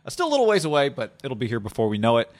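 A man, co-hosting a sports podcast in a laid-back, halting way and reading plugs for the show. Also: medium pitch, huge pitch swings. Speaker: podcast co-host.

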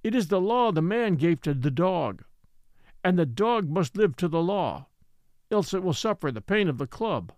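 A man's voice: sits high, sing-song, moderate pace, darkish tone, no room sound, clean studio sound. A man voicing an old Native man in a story reading, resigned and scornful, speaking simple broken English with rhetorical questions. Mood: solemn. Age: older.

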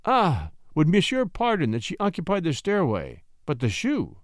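A sly, charming young French lady coaxes and pleads in a high, lilting voice that leaps all over, played by a man reading aloud. The sound is close and dry. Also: wildly swooping pitch, normal speed, no room sound. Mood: coaxing.

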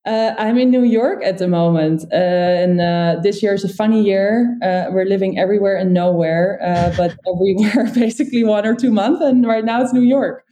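A woman's voice: medium pitch, normal speed, few pauses, warm tone. A woman in her thirties from the Netherlands, speaking with a Dutch accent as a founder answering interview questions, with lots of "uh" fillers. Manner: many "uh" fillers, laughs lightly. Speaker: guest, company co-founder.

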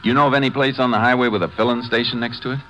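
An actor playing a calm, firm Texas Ranger investigator with plain-spoken authority in a 1950s western radio drama. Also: male voice, medium pitch, racing, lightly acted, poor audio. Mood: calm, probing. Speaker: lead actor and narrator. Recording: old radio recording with music.

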